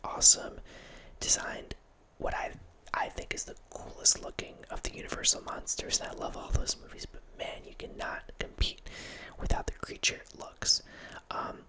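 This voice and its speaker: A man in his thirties whispering a relaxed ASMR ramble as host, close to a studio mic in a small home room, with frequent restarts. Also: moderate pace. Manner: whispering throughout, relaxed film-nerd musing with frequent restarts. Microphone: studio mic close up, headphones on.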